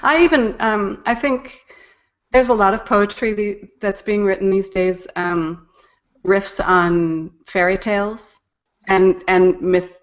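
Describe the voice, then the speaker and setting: A woman's voice: mid-range voice, steady tone, takes it slowly, dull audio. A woman talking casually as an interview guest, hesitant and thinking aloud as she goes. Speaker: guest, poetry podcast editor and narrator.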